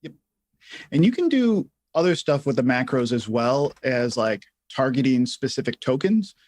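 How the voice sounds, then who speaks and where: A man co-hosting a livestreamed tutorial, talking informally and haltingly as he thinks aloud while explaining. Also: medium pitch, very animated, a little mellow. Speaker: livestream co-host and software instructor. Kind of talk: casual live tutorial talk.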